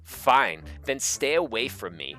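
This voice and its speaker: A man's voice: medium pitch, sing-song, takes it slowly, sharp tone, heavily acted. Man playing a melodramatic, self-pitying teenage girl. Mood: indignant. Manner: melodramatic, indignant. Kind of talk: comic dramatic reading of fan fiction. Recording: music behind the voice.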